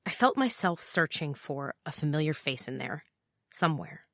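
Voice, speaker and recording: An actress playing a guarded, wry private eye in an understated noir audio drama. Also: female voice, low voice, big pitch swings, normal speed, a bit bright, no room sound, studio quality, heavily acted. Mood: guarded, brooding. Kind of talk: noir first-person audio drama narration and dialogue.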